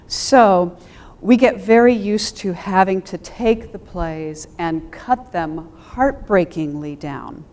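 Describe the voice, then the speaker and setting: A woman speaking thoughtfully on a panel as a theater director, reflective and halting, often pausing mid-phrase. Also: medium pitch, a little mellow. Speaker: theater director and Shakespeare adapter on a discussion panel.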